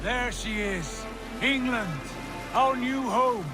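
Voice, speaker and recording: An actor playing a wary Viking raider who leads a longship crew, deliberate and commanding, in a video game cutscene. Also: male voice, very high-pitched, music in the background. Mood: proud.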